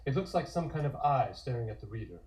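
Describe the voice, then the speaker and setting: A man reading scripted narration for a comic-book table read in a measured, descriptive way. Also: mid-range voice, expressive, a little mellow, poor audio.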